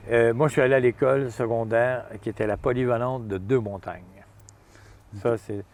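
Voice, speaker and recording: A man's voice: medium pitch, steady tone, moderate pace, a little mellow, slight background noise. A man with a Montreal Quebec French accent, talking casually as an interview guest, informal and anecdotal, repeating himself for emphasis. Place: outdoors in the Gaspé region.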